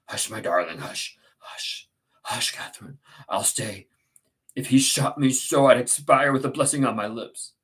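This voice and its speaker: A man's voice: fairly even intonation, moderate pace, few pauses, a bit bright, slightly rough. A brooding man in love as a male reader acts him, tormented and fierce. His delivery is intense and anguished, in the manner of a dramatic storybook reading. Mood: fierce devotion. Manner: intense, anguished, low-spoken. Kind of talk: dramatic audiobook character reading.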